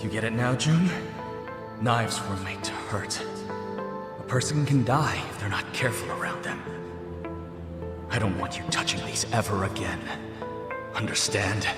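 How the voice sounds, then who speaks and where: A man's voice: lowish voice, unhurried, pauses often, over loud music, close to an everyday voice. A man acting a polite, anxious middle-aged father and husband in a dubbed video game cutscene, formal and earnest in his speech. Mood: stern, alarmed. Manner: polite, formal, earnest, exclaims when upset.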